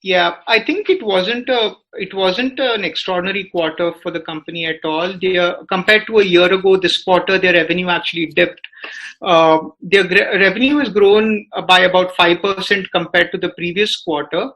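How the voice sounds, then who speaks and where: Indian guy on a podcast, bright forward voice, reporter type, stops and starts a lot explaining stuff. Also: sits high, rough recording. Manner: hesitant, halting, frequent filler words. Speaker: business reporter. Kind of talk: podcast discussion, analytical commentary.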